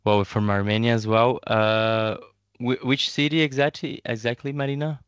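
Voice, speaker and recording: A man's voice: medium pitch, level tone, moderate pace, smooth, no room sound, muffled recording. A man hosting an online webinar, inviting participants to introduce themselves, with frequent fillers and a friendly, encouraging manner over a video call. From Brazil and Italy. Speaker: webinar host, community manager.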